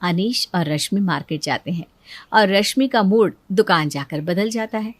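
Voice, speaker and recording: A woman's voice: medium pitch, normal speed, dry recording. Woman narrating a story, dry recording.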